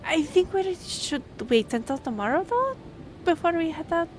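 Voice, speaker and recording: A woman's voice: extremely high pitch, big pitch swings, normal speed, a little hiss or hum. A woman playing an earnest, easily flustered young half-elf royal liaison in a fantasy audio roleplay, with a stammering, pleading manner. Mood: grateful, relieved. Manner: breathy sighs, stammering, pleading.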